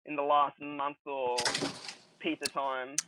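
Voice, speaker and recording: Young male podcast co-host, higher-pitched, jokey and sweary, dry phone-like audio. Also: little pitch movement, slow, background noise. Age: young adult. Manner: joking, sweary. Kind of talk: casual banter. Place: remote group online call. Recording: thin phone-like call audio.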